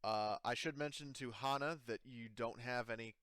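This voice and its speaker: A man improvising tabletop game narration as game master in a casual, halting, self-correcting way. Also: mid-range voice, a bit bright, clear, resonant tone, dry recording, studio quality.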